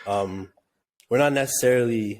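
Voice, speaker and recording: A man's voice: medium pitch, moderate pace, a little hiss or hum. A man from Tanzania co-hosting a podcast, chatting casually and rambling with lots of "like". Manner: relaxed and rambling, heavy use of "like". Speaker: podcast co-host.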